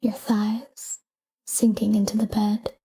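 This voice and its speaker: Woman, breathy whisper, very slow, even pitch, calm sleep meditation guide. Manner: calm, hushed, soothing.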